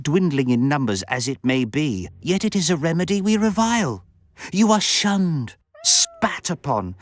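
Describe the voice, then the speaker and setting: An actor playing a refined, verbose count in a video game, speaking in florid, theatrical, pompous phrases with sardonic and lecherous relish. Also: male voice, a little high. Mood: indignant. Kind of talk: video game cutscene voice acting. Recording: music behind the voice.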